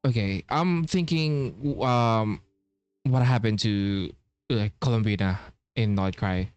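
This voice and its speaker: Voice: mid-range voice, slow pace, darkish tone, clear, resonant tone, dry recording, dull audio. A streamer giving casual, sweary, reactive gameplay commentary in a laid-back way. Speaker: streamer playing a game and reading lines aloud. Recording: game music behind the voice.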